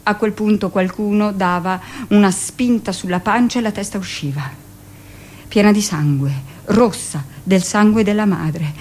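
A woman plays a hardened midwife in middle age, delivering a theatrical radio monologue. Her mid-pitched voice is deliberate and confiding, and stays matter-of-fact about cruelty. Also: a little mellow, a little hiss or hum, lightly acted. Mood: confiding, intense. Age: middle-aged. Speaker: actress performing a radio monologue. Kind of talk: dramatic first-person theatrical monologue.

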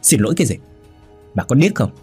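Henpecked grown son for an audio drama, man acting: husky, short-tempered, snapping delivery. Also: lowish voice, expressive, moderate pace, warm tone, faint music behind. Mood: angry. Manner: snapping, growling.

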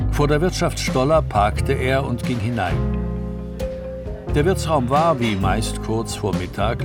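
Loud guitar music accompanies a male narrator of a detective radio play. His medium-pitched voice tells the story in measured literary prose, with plenty of movement in the intonation.

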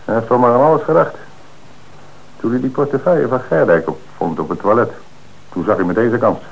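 An actor playing a calm, shrewd police commissioner who gives orders and explains the case in an old radio play. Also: male voice, medium pitch, fairly quick, gravelly, husky, highs cut off. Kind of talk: radio drama acting.

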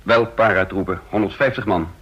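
Hese, gebiedende operatieleider. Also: male voice, low-pitched, steady tone, a little fast, fairly bright tone, slightly rough. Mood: brisk commanding. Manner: clipped, authoritative, matter-of-fact. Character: commanding, shrewd male operation leader, brisk and self-assured. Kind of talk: Dutch radio drama dialogue. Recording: dull old radio recording.

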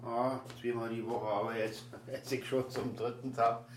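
An actor playing an ailing, grumbling older father with a halting, mumbled delivery and a Berlin accent. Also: mid-range voice, flat delivery, natural voice. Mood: grumbling, resigned. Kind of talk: naturalistic German radio drama. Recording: echoey room, faint noise.

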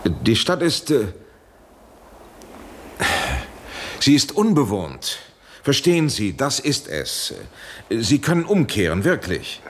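An actor playing an anxious, authoritative professor in a 1970s German radio drama, urging and imploring in formal speech. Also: male voice, medium pitch, sing-song, a little slow, slight background noise. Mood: uneasy. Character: anxious authoritative male professor pleading urgently by radio link.

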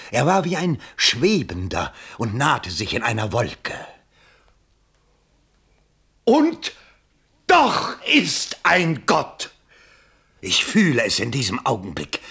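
A man's voice: mid-range voice, sharp tone, slightly rough, exaggerated voice. A male actor playing a frantic, scheming bourgeois candidate in a 1960s German radio play, theatrical and exclamatory, moving between mutters and cries. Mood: indignant, then rapt, then ecstatic, devout.

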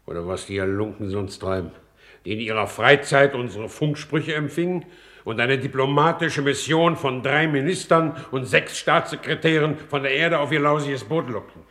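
An actor playing an exasperated, contemptuous war minister in a 1950s German radio play, with a blustering, sarcastic delivery. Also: male voice, mid-range voice, normal speed, sharp tone. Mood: exasperated, scornful.

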